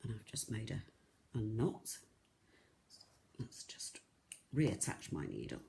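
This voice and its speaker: Croaky, soft-spoken beading tutor. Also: mid-range voice, very animated, slow pace, in a whisper. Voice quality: soft, not loud. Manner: chatty, rambling, lots of ums and restarts. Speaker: craft shop tutor. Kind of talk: live-streamed beading tutorial.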